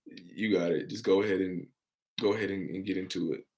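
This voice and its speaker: A man's voice: deep voice, steady tone, fairly quick, a little mellow. A young man, hosting a casual livestream Q&A about his insurance work. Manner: upbeat and encouraging, reads out and answers viewer comments. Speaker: insurance broker and livestream host.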